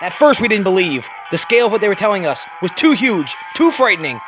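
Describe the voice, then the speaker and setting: A man's voice: sits high, very animated, quick pace, music in the background. A man voicing a grave hero-explorer narrating his journal, solemn and portentous. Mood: grave, ominous.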